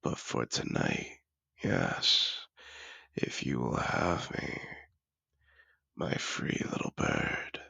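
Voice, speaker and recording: A man playing a seductive, possessive dragon disguised as a wealthy patron, speaking in a courtly, deliberate, intimate way for a fantasy audio roleplay. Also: very animated, bright, forceful tone, no room sound, heavily acted. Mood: tender, seductive. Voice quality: deep gravelly voice with breathy murmurs.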